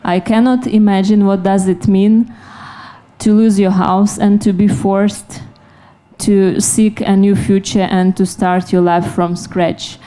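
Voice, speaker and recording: A woman's voice: mid-range voice, fairly even intonation, takes it slowly, warm tone. A woman from Ukraine with a Ukrainian accent, speaking as an NGO representative on a panel. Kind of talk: prepared panel introduction.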